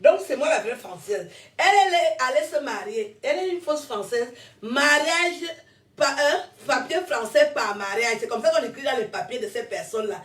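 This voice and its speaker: A woman's voice: high voice, animated, normal speed. A woman in her fifties, born in France, giving a combative, rambling monologue to camera and laughing between her jabs. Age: 50s. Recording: roomy echo, distant from mic.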